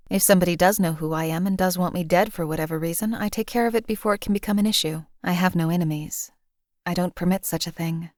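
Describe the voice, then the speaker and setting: A cold female killer for hire, voiced by a woman for a game, who talks in a measured, formal and utterly detached way. Her voice sits on the low side for a woman. The recording is dry and close, with no room sound. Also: no room sound, close to an everyday voice. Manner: flat, detached, coolly deadpan. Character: cold emotionally hollow female hired assassin. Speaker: voice actor for a game companion.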